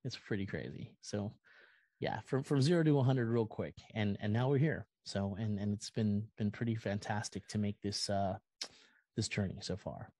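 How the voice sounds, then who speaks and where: A man's voice: lowish voice, normal speed, darkish tone, no room sound. A middle-aged American man speaking casually as an interview guest, rambling earnestly and effusively about himself. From California, United States. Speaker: podcast guest, musician and content creator. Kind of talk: casual, personal interview talk.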